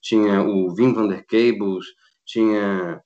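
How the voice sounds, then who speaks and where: Carioca guy in his 50s, kinda low voice, lots of restarts, muffled call audio. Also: fairly even intonation, takes it slowly, darkish tone. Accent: Rio de Janeiro Brazilian Portuguese. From Brazil. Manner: rambling, many restarts, laughs often. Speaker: guest. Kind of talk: casual interview chat. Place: at home in Australia. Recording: dull lo-fi video call audio.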